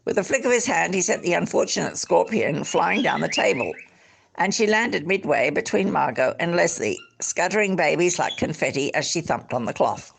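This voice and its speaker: An Australian reader telling a literary story aloud expressively, in measured phrases with deliberate pauses. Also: mid-range voice, animated, creaky, slightly rough. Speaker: audiobook-style reader.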